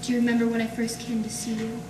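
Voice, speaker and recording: A woman's voice: a little high, completely flat delivery, quick pace, lightly acted. A woman playing a secretive, pleading femme fatale in an earnest, dramatic 1940s radio melodrama style. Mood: confiding. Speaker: amateur stage radio-drama actress. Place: live performance in an art gallery. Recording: distant mic in echoey noisy room.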